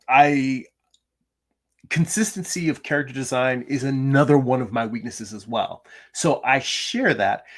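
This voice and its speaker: A middle-aged man hosting a drawing livestream from his home, chatting casually with viewers and reading their comments, his voice gravelly from little sleep, with many restarts and fillers. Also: medium pitch, a bit bright. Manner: chatty, self-deprecating, frequent restarts and ums. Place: home room.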